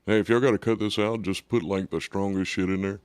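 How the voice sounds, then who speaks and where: A man with a deep voice co-hosting a casual radio show, joking in a laid-back way. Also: steady tone, brisk, smooth, no room sound.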